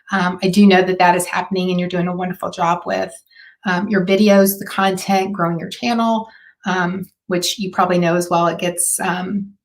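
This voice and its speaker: A woman with a hoarse, raspy voice hosts a live marketing stream. Her voice sits fairly low, and she chats along loosely with plenty of fillers. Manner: chatty, with frequent fillers. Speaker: host.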